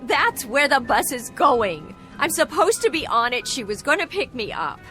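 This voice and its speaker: A distraught young woman in a radio drama. Her high voice swings widely as she insists and pleads, on edge, with faint music behind her. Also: very animated, moderate pace, bright, forceful tone, full character voice. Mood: agitated, insistent. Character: distraught, pleading young woman stranded bus traveler under suspicion.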